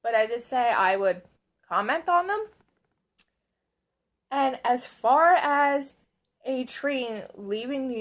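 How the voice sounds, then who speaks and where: A woman talking to her camera in a hoarse voice that sits a little high. She rambles along casually and pokes fun at herself. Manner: chatty, rambling, self-mocking. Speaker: vlogger. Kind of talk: casual vlog chat. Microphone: camera on a stand.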